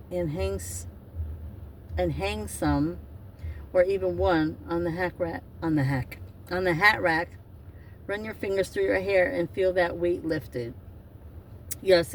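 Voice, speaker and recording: A woman in her fifties doing an oracle card reading, talking in a rambling, chatty way that often interrupts itself. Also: lowish voice. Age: 50s. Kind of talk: casual spiritual card reading. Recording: distant mic with faint noise.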